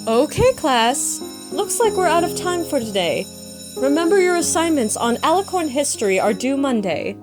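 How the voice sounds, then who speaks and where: A woman voicing a kindly, patient female pony schoolteacher with a gentle manner in a cartoon fan audio drama. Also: high voice, big pitch swings, normal speed. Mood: cheerful, obliging. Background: music.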